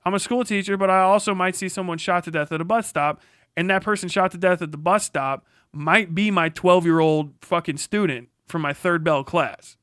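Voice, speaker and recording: A man's voice: high voice, moderate pace, a bit bright, smooth, no echo. An American man in a blunt, combative, profane livestream rant, speaking unscripted into a close mic. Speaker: livestream commentator.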